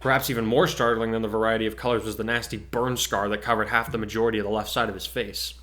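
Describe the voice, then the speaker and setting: A man's voice: on the low side, level tone, fast, fairly bright tone. A man co-hosting and reading his own fan fiction, moving between casual chat and dramatic narration. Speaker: co-host and fan fiction author.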